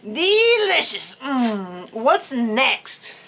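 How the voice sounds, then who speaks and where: A woman's voice: sits high, big pitch swings, very slow, pushed and bright, full character voice. A woman voicing an eager, friendly young male armadillo with folksy, exuberant cowboy talk, from a storybook.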